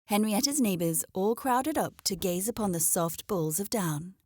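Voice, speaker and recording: A woman reading a scripted children's story aloud as an expressive narrator. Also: medium pitch, moderate pace, no room sound, studio quality.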